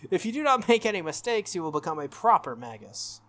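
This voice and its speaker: A game player voicing a composed, solemn young woman knight from a visual novel with formal, measured, blunt courtesy. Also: medium pitch, sing-song, fairly bright tone.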